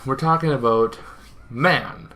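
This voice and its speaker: A man's voice: mid-range voice, slow, sharp tone, a little hiss or hum. A man hosting an informal explainer, talking in a rambling way with asides and self-corrections. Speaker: host of a tabletop gaming show.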